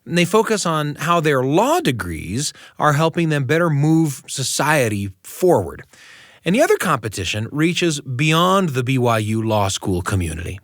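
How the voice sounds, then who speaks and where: A man's voice: on the high side, big pitch swings, normal speed, fairly bright tone, dry recording, clean studio sound. A man hosting a storytelling show, narrating in a conversational, thoughtful and explanatory way with emphatic phrasing. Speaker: radio storytelling show host.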